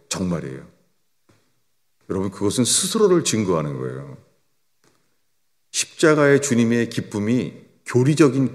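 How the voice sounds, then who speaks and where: An older man preaching a sermon as a pastor, swinging between raised emphatic lines and low, quiet drops. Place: church sanctuary. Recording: long church reverb.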